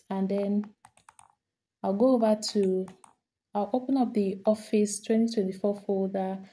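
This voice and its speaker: A woman talking through a screen-recorded software tutorial, step by step, repeating each action as she does it. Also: medium pitch. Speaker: tutorial host.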